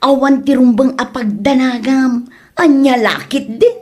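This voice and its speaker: A woman's voice: high voice, unhurried, darkish tone. A woman with an Ilocano accent playing a loyal, scolding politician's wife in a comedy drama. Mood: confident.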